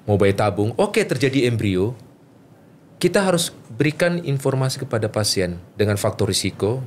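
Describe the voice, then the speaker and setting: A man's voice: medium pitch, a little hiss or hum. A man speaking as a guest doctor, explaining medical matters informally, with repeated points and rhetorical questions. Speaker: doctor, fertility specialist guest.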